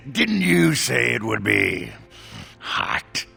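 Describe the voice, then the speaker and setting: An actor playing a crude, boisterous, drunken dwarf warrior in a video game, with a gravelly, slurring voice. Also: male voice, a little high, huge pitch swings, extremely slow, pushed and bright, soft background music, heavily acted. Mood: crude teasing. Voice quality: gravelly, raspy.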